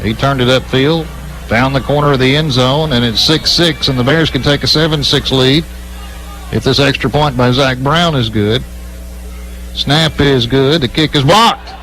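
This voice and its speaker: A male radio announcer delivers live football play-by-play at a middling pitch. He follows the action as it unfolds, in the manner of a game broadcast. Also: moderate pace, a bit bright, slight background noise, poor audio.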